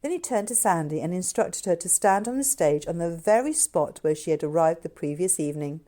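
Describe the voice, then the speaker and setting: A woman author reading her own novel aloud in an expressive storybook narration style. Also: on the low side, fairly quick.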